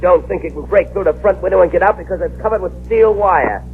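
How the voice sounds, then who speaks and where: An actor playing a ruthless arsonist gang boss in an old radio drama, barking threats and orders. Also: male voice, sits high, fast talker, breathy edge, phone-quality sound, soft background music, full character voice. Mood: frantic. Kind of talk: 1940s radio acting. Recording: scratchy old radio broadcast, hiss.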